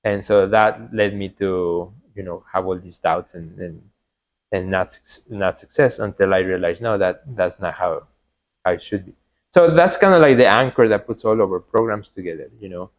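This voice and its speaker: A man's voice: low voice, moderate pace. A man from Mexico who speaks English with a Mexican Spanish accent, his first language being Spanish, talking earnestly in a casual podcast interview and often repeating words and adding "you know". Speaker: podcast guest, artist coach.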